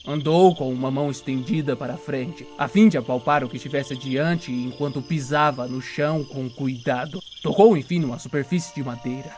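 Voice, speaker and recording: A man's voice: mid-range voice, moderate pace, hardly any pauses, darkish tone. A man with a Brazilian accent narrating a dramatic story in suspenseful, short clipped phrases. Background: music.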